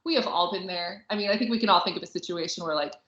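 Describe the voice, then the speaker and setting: Bright-voiced woman chatting in a roomy space. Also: fairly low pitch, rapid-fire. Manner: chatty, informal, heavy use of "like". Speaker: podcast co-host. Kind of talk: casual book and film discussion. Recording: roomy echo, slightly dull sound.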